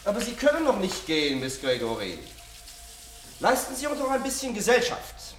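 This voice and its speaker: Coaxing doctor, wildly varied intonation. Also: male voice, high voice, huge pitch swings, moderate pace, fairly bright tone, full character voice. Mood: firm, insistent. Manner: coaxing, professional, jocular. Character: brisk male doctor, soothing patients, firmly insistent. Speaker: radio drama actor. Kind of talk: German science-fiction radio play acting. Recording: noisy old radio drama recording.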